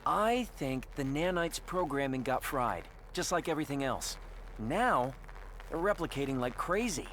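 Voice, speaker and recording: Higher-voiced, lively man, wry and informal. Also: normal speed, slight background noise. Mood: wry explaining. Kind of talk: conversational game voice acting.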